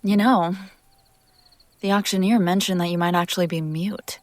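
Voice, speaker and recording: A woman playing a possessive, teasing demon in an intimate scripted audio roleplay, speaking theatrically with drawn-out phrases that drop toward whispers. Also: on the low side, steady tone, no room sound, close to an everyday voice. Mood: puzzled, exasperated.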